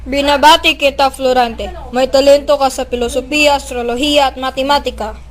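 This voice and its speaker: A woman's voice: high-pitched, steady tone, moderate pace, lightly acted. A woman with a Filipino accent playing a formal, encouraging schoolmaster in an amateur radio drama. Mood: formal, approving.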